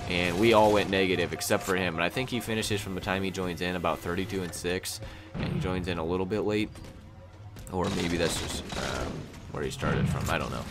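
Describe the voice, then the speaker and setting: A young man hosting a gaming channel, rambling through gameplay commentary in a slightly scratchy, raspy voice with plenty of "um"s and "you know"s. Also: low voice, a little fast, music in the background. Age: young adult. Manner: rambling, lots of "um" and "you know", thinking aloud. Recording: voice over loud gunfire from gameplay. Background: gameplay gunfire and explosions.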